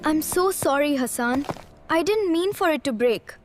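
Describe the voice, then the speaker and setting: Lady doing an eager little schoolboy for a kids cartoon, high and really animated, earnest. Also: moderate pace, faint music behind. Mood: apologetic. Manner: earnest, exclamatory. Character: excitable, eager, kind-hearted schoolboy building a science project. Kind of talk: children's cartoon story dialogue.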